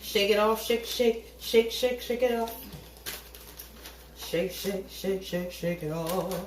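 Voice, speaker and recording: Woman, casual cooking chatter, tired late-night voice, echoey kitchen, steady background noise. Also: medium pitch. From Haitian family. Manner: chatty, joking, swears casually, sings snatches. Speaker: home cook vlogger. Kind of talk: casual cooking vlog chat. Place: home kitchen.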